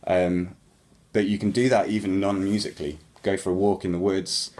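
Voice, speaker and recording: A man with a very low voice talks casually to his viewers, answering their questions about playing music. He rambles in a relaxed way and fills pauses with ums and false starts. A young adult speaking straight to camera. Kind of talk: casual Q&A chat.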